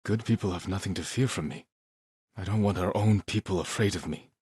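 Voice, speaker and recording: An actor playing a solemn, resolute samurai warrior in a video game, speaking in a measured, earnest, formal way. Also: male voice, deep voice, a little mellow, husky, no echo, clean studio sound. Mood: steady, serious. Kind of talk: dramatic video game voice acting.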